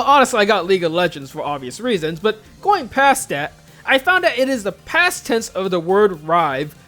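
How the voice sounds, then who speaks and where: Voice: medium pitch, fairly bright tone. A YouTube host giving scripted commentary, emphatic and stretching words for comic exaggeration. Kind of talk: scripted video commentary on visual novels.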